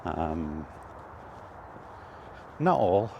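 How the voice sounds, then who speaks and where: A British man giving rambling, casual commentary while walking outdoors, halting and thinking aloud as he goes. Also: low-pitched, big pitch swings, gravelly, highs cut off. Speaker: video host. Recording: outdoor ambient noise throughout.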